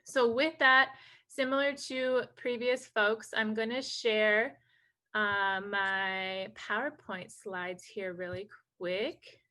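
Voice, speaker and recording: A woman's voice: mid-range voice, steady tone, slow, pushed and bright. A woman from North Dakota, a native Hidatsa speaker, giving an informal online presentation in an upbeat, enthusiastic way as a program director. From North Dakota, USA.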